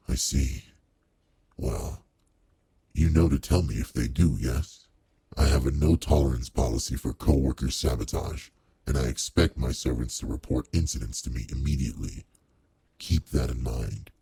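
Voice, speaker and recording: Man voicing a very deep, gravelly demon lord. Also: no room sound, full character voice. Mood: stern, concerned. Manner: measured, formal, authoritative with soft concern. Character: commanding male demon lord, old-fashioned, courteous, quietly caring boss. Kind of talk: roleplay audio drama, intimate one-to-one address.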